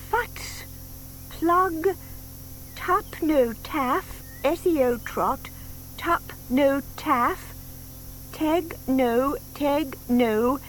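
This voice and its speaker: A sweet older lady, with a high voice that rises and falls freely. She is hesitant, fluttery and trusting, unhurried, in a children's audio play on faintly hissy tape. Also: heavily acted, low-quality recording. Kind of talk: dramatised children's audiobook acting. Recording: faint hiss from old cassette tape.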